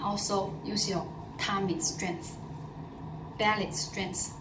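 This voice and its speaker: A woman's voice: mid-range voice, steady tone, slow, distant mic. Chinese lady teaching pronunciation, lots of uh and repeats, noisy roomy sound. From China. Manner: explanatory, with many fillers and repeated phrases. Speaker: language teacher. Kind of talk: casual pronunciation lesson. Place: in Dubai.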